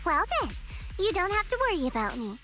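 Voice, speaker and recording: A woman voicing a proud young female investigator in an anime-style game, smug, teasing and brisk in manner. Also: extremely high pitch, big pitch swings, pushed and bright, soft background music, exaggerated voice. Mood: boastful. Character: proud, haughty young female investigator boasting of her record.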